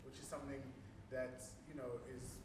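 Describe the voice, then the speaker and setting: Man, interviewer, medium pitch, distant, echoey, noisy background. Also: little pitch movement, moderate pace. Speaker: interview host. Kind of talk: interview question.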